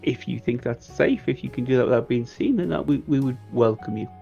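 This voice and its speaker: A man with a creaky, mid-pitched voice plays a tabletop roleplaying game. He talks casually in character over music, and the audio sounds slightly muffled. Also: brisk, dark tone.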